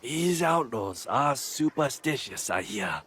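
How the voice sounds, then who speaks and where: An actor playing a sly, self-satisfied informant in a video game, with a husky voice and a teasing, wry, sing-song manner. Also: male voice, medium pitch, sing-song, takes it slowly, a bit bright, full character voice. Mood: sly, playful. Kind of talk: video game cutscene acting.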